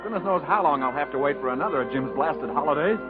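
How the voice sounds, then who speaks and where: Guy doing eager schemer showman, high lively voice, old time radio musical comedy. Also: quick pace, natural voice. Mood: pleading, excited. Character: scheming, eager showman pursuing a dancing partner. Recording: muffled, narrow-band 1950s radio recording with music.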